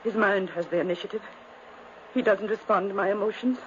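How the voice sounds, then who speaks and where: An actress playing a dying, delirious twin sister, intense and pleading in 1950s radio drama style. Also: female voice, mid-range voice, steady tone, brisk, natural voice. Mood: bleak, haunted. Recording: muffled, hissy 1950s radio recording.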